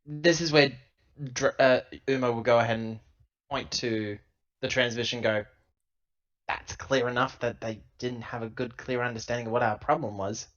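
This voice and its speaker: A man's voice: mid-range voice, fairly even intonation, normal speed, a bit bright. A man playing a tabletop roleplaying game, casually describing and speaking for his character over a lo-fi connection. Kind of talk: casual play, describing and voicing own character.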